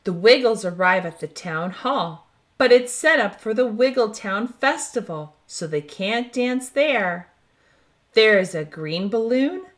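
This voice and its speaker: A woman hosting a children's storytime, reading a picture book aloud in an expressive, deliberate way. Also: medium pitch. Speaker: host of children's read-aloud show.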